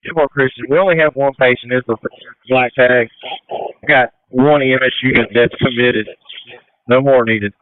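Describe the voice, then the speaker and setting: A man's voice: medium pitch, steady tone, moderate pace, dry recording. Man, fire commander, tinny two-way radio, dry close sound, directive, matter-of-fact. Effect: two-way radio transmission. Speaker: fire battalion chief acting as incident commander. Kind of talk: emergency radio traffic.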